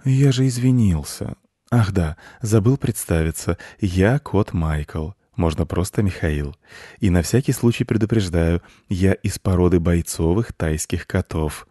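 A man's voice: low-pitched, measured pace, mellow, no room sound, studio quality. A man voicing a suave, sleek house cat in an animal story, with courtly, formal and apologetic manners. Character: suave, sleek, over-polite Siamese-type house cat.

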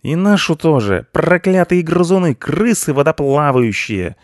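A man's voice: on the high side, sing-song, slow pace, darkish tone, no room sound, studio quality, pitched above the performer's own voice. Man voicing a hot-tempered shrieking ginger tomcat. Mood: furious. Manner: shrieking, hissing threats. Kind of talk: animal storybook character voice.

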